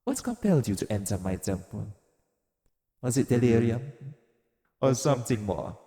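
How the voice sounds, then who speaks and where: A man playing an exiled god of vengeance in an audio roleplay, theatrical and deliberate, coaxing and taunting with dark amusement. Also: mid-range voice, big pitch swings. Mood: taunting, amused. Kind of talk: dramatic audio roleplay monologue to the listener. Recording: long cavernous reverb. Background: music.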